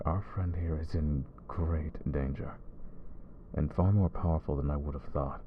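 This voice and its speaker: A man's voice: very deep voice, highs cut off, a little hiss or hum. A man voicing a brooding vampire hunter. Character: brooding male vampire who hunts vampires. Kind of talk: ASMR roleplay audio.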